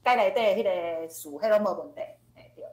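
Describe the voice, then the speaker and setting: A woman's voice: mid-range voice, brisk. A woman with a Taiwanese accent teaching informally in an online question-and-answer session, explaining and repeating key phrases. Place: online video class. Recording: echoey online class audio.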